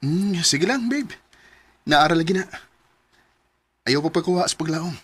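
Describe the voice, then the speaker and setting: An actor playing an ambitious, affectionate young boyfriend in a Filipino radio drama, with a Cebuano accent and an eager, sweet-talking manner. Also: male voice, a little high, animated, unhurried. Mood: reassuring. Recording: noisy scene with background ambience.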